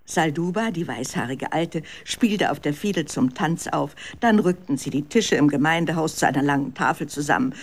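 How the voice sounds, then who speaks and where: A woman's voice: low-pitched, animated, moderate pace, hardly any pauses. A woman narrating a children's radio drama as a scripted storyteller.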